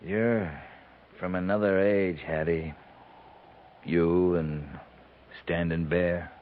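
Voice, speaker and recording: An actor playing a wounded, determined army captain with an American accent, halting but commanding, in a radio western. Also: male voice, low voice, steady tone. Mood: subdued. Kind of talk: 1950s radio western acting. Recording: noisy, muffled 1950s radio recording.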